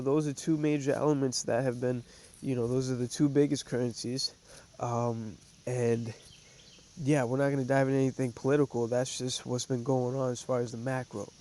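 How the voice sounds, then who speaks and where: A man's voice: medium pitch, darkish tone, slight background noise. A man hosting a trading show, a little stuffy from a cold, talking loosely with many fillers and restarts. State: stuffy, congested. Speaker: podcast host. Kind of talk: casual trading commentary.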